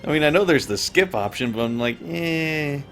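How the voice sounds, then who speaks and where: A man's voice: a little high, animated, moderate pace, fairly bright tone. A man hosting a game stream with casual, sweary, joking commentary, game music playing behind him. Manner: sweary, mock-quoting. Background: video game music.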